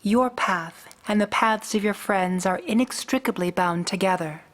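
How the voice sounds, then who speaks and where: An ancient pony princess, acted by a woman, regal and solemn. She speaks in measured, formal phrases in a middle register, as in a fan-made radio play. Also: medium pitch, lightly acted. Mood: gracious, wise. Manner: formal, measured, grave diction.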